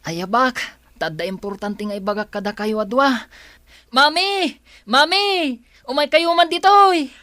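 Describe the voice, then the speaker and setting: A woman voicing an eager, excitable schoolboy in a radio drama. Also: sits high, unhurried, no room sound. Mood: excited, urgent. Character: excited schoolboy calling for his mother. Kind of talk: Ilocano radio drama acting.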